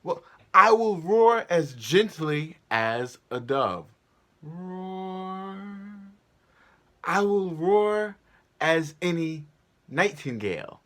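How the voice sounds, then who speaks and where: A man's voice: high voice, big pitch swings, very slow, a bit bright, pitched above the performer's own voice, full character voice. A boastful, eager weaver played by a man who overacts grandly in stage Shakespeare. His delivery is bombastic and emphatic, swooping widely in a raised voice. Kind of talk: theatrical Shakespeare staged reading.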